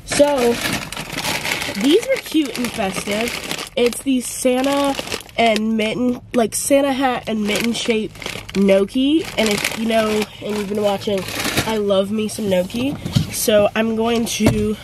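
A woman's voice: on the high side, slow, noisy background. A woman in her twenties from North Carolina, vlogging casually to a handheld camera and rambling with lots of ums and likes. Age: 20s. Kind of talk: casual vlog chat to camera. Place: parked car. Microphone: handheld camera or phone at arm's length.

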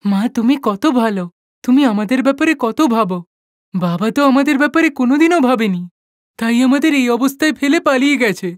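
A woman voicing a devoted, eager young son in an animated moral story, earnest and pleading. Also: a little high, a little fast, dry recording, clean studio sound, natural voice. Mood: affectionate, hurt.

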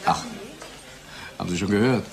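An actor playing a joking, gossipy office colleague in a German radio drama, casual and teasing. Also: male voice, deep voice, moderate pace, gravelly, a little hiss or hum.

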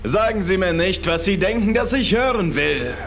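Man acting a tense, higher-pitched stern boss. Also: big pitch swings, normal speed, gravelly, fairly bright tone, a little hiss or hum, full character voice. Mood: grave, tense. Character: tense, nervous male boss issuing a grave warning. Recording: music behind the voice.

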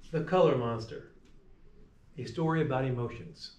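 A middle-aged man reading a picture book aloud to young children as a teacher, in a gentle, deliberate storytelling manner. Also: medium pitch. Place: small classroom, indoors. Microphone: camera a few meters away. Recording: echoey room, distant mic.

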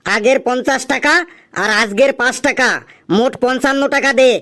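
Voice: high voice, fairly even intonation, normal speed, a bit bright. An actor playing a grumpy, stubborn tea stall keeper in a comedy cartoon, scolding and insistent. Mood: wary, insistent.

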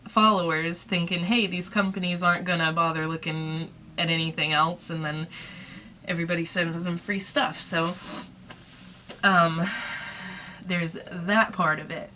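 Chatty woman with a bright tone. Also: on the low side, fairly even intonation, unhurried, a little hiss or hum. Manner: chatty, rambling, lots of fillers. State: dry throat, recovering from illness. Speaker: small business owner vlogger. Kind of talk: casual advice vlog. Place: small room indoors.

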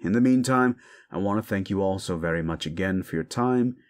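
A man's voice: deep voice, brisk. A man speaking as host and voice actor, giving an enthusiastic, emphatic thank-you outro to listeners.

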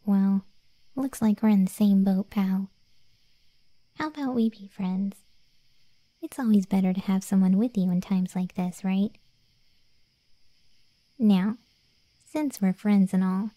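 A woman voicing a cocky, cheeky undead cat girl in a soft, breathy, slangy ASMR roleplay. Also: medium pitch, dry recording. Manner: soft and breathy, often near whispering, slangy, teasing.

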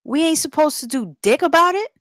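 A woman's voice: high-pitched, expressive, fairly quick, fairly bright tone, no room sound, studio quality. A woman playing a tough, brash young gang member in a drama table read, with streetwise, slangy delivery. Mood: indignant.

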